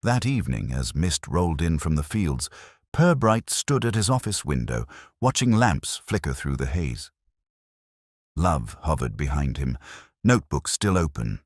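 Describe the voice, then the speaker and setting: A man reading a scripted detective story as narrator, in a measured literary manner. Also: a bass voice, big pitch swings, dry recording, studio quality.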